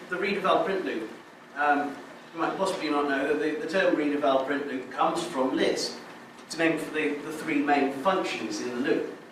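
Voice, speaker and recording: A man's voice: on the high side, a bit bright. A man giving a technical conference talk, explaining step by step, with frequent restarts and fillers. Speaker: conference speaker. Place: lecture room. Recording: very echoey hall, distant mic, faint noise.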